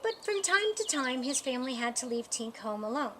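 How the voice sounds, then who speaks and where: A woman reading a children's picture book aloud as a storyteller, with an expressive, deliberate storytime delivery. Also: high-pitched, background noise. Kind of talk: scripted children's story narration.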